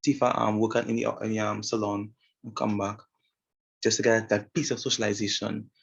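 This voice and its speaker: A man's voice: on the low side, little pitch movement, moderate pace, vocal fry, breathy edge. A young Trinidadian man giving an informal talk about a woman he interviewed, speaking hesitantly with many fillers and restarts. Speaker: student presenter. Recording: muffled, lo-fi sound.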